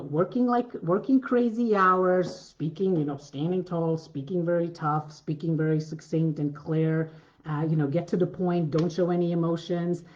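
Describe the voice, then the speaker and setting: Deep-voiced woman coaching, earnest. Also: a little mellow, lo-fi sound. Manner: earnest, repetitive, many restarts. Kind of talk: masterclass talk.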